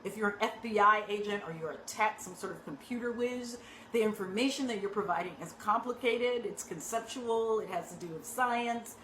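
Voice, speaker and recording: Woman acting coach, brisk teaching talk, mid-range voice, recorded in a reverberant room. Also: medium pitch, a little hiss or hum. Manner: conversational, frequent rhetorical "right?" tags; animated and emphatic. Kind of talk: direct teaching talk to actors. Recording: echoey room with faint ambience.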